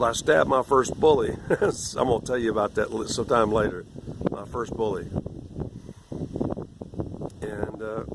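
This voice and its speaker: A man in his sixties with a rough, irregular voice, rambling and lecturing condescendingly in a video monologue. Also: medium pitch, heavy background noise. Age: 60s. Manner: condescending, lecturing, meandering with restarts. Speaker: vlogger.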